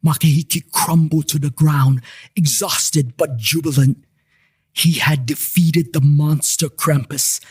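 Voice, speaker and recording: A man's voice: medium pitch, a little mellow, slightly rough. A man narrating a scripted, dramatic story as a podcast storyteller. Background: music under the narration.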